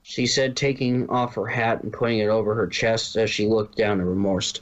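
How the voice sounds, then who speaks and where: Lowish guy reading fan fiction out loud as narrator, trips on a line sometimes. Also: level tone, normal speed, lo-fi sound.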